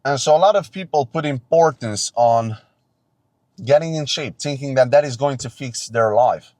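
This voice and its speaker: A man's voice: medium pitch, moderate pace, darkish tone, no room sound. Young man, tired after no sleep, intense unscripted pep talk, close dry sound. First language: Romanian. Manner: earnest, intense, repeats himself, preachy. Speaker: self-improvement vlogger. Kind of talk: unscripted motivational monologue. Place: forest outdoors at dawn.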